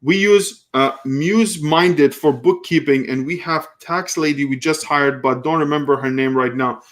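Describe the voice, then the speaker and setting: A man in his thirties with a slightly higher-pitched voice. He hosts a live Q&A stream, answering viewers in a loose, rambling way, often restarting sentences as he thinks aloud. He grew up in Kuwait. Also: unhurried, a bit bright. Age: 30s. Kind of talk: casual live stream Q&A, reading viewer comments.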